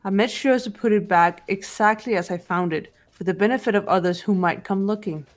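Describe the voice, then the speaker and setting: A woman's voice: medium pitch, fairly quick, natural voice. A woman voicing a composed, unemotional teenage girl investigator in a visual novel game, speaking precisely and matter-of-factly. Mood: calm, instructive. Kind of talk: scripted visual novel voice acting.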